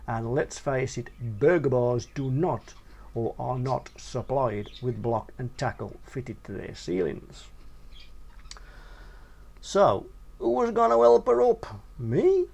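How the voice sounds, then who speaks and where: A man's voice: medium pitch, sing-song, slow pace, vocal fry, a little mellow. A man with a British accent reading a comic first-person short story in a theatrical, sweary way.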